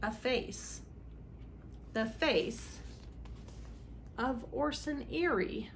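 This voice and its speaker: Woman doing a dramatic read aloud for kids, highish voice, faint noise behind her. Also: extremely slow. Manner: dramatic, emphatic on exciting moments. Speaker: read-aloud host for children. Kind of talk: expressive storybook reading for children.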